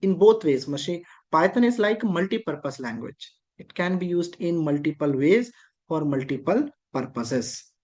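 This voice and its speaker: Instructor, patient and repetitive, mid pitch. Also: animated, normal speed, a little mellow. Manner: patient, repetitive explaining with frequent rhetorical questions. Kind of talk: explaining a lesson.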